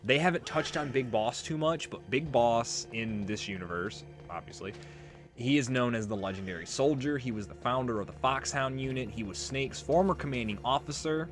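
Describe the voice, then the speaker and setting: A man with a mid-pitched voice chats through a video game as a let's player. He rambles casually as he explains the lore, with faint music behind him. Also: lively intonation, normal speed. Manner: rambling, conversational. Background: game music and audio.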